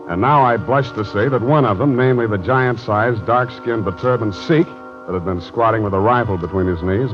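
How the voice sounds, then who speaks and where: A man's voice: on the low side, lightly acted. Old radio sound with music, man acting as sardonic male private eye, hard-boiled, wisecracking, muffled vintage recording. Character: wry hard-boiled male private detective narrating his case. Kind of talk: 1940s radio detective acting and narration.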